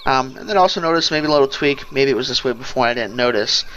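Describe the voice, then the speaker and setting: A man's voice: medium pitch, racing, sharp tone, dull audio, slight background noise. A man giving casual, rambling gameplay commentary, thinking aloud as he goes. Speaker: let's play host. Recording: game music behind the voice. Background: fantasy game music and sounds.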